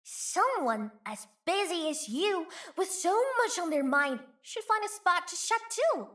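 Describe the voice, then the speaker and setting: A cheeky, bouncy young chief. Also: female voice, very high-pitched, very animated, measured pace, a bit bright, heavily acted. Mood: playful. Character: playful, greedy young female chief, mischievous. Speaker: voice actor. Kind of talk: game character voice lines.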